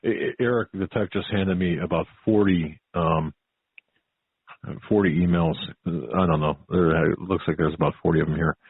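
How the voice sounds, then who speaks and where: A man hosting a talk radio interview, speaking haltingly and repeating his words. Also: low voice, fairly even intonation, husky, dry recording. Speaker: radio show host. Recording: narrow-band phone-like audio.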